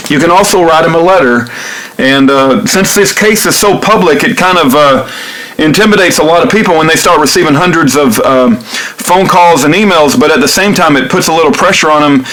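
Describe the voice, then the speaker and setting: A man with a fairly high voice makes an informal spoken appeal as a supporter of a cause. He is polite and earnest, and he often halts to search for the right word. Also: low-quality recording. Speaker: activist supporter leaving a voicemail and recording it for upload. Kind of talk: informal spoken appeal, phone message and update.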